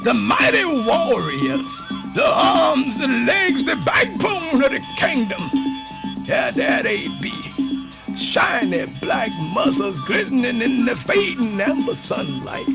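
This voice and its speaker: A man telling a folktale for radio theatre in a folksy, exclamatory, drawn-out storytelling manner. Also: very high-pitched, gravelly. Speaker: storyteller narrator. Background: music.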